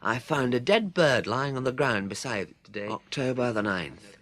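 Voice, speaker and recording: An earnest teenage English boy who loves plants. His pitch jumps about and the voice has a slightly husky edge. He speaks in a precise, hesitant way, in radio drama style. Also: expressive, normal speed, fairly bright tone. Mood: solemn reciting. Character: earnest, curious teenage boy, a keen amateur botanist. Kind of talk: British radio drama acting.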